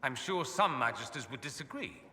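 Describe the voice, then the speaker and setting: A proud, flamboyant mage of noble birth for a fantasy game. His delivery is highly animated and bright, full of urbane wit and dry self-mockery. It rings through a reverberant hall. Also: normal speed. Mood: wry, defiant. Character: witty, proud, theatrical aristocratic mage exile. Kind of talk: video game dialogue acting. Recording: echoey reverberant hall, faint background noise.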